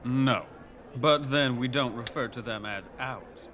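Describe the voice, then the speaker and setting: An actor playing a haughty, disdainful elf in a fantasy audio drama, with a pompous, formal manner. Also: male voice, mid-range voice, unhurried, fairly bright tone, lightly acted. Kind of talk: audio fiction acting.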